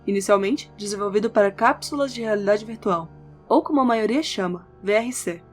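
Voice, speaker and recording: A woman narrates a scripted audio novel at a middle pitch. Instrumental music with guitar plays beneath her voice. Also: fairly quick. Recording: background music under the voice.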